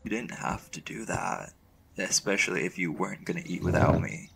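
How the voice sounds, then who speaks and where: A man acting as a shy, smitten young college mage in an intimate boyfriend roleplay, speaking casually and haltingly. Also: low voice, vocal fry, a bit bright, a little hiss or hum, exaggerated voice. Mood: teasing, casual. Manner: hesitant, halting, casual slangy delivery.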